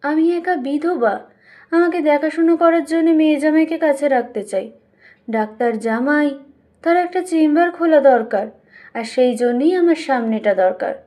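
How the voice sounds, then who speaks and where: A woman narrator voices a demanding widowed sister-in-law in an audiobook story. She speaks in a high voice, insistent and sarcastic, defying her family. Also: moderate pace, close to an everyday voice. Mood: indignant, insistent. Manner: sharp-tongued, insistent, sarcastic. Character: defiant, demanding widowed Bengali sister-in-law, head of kitchen.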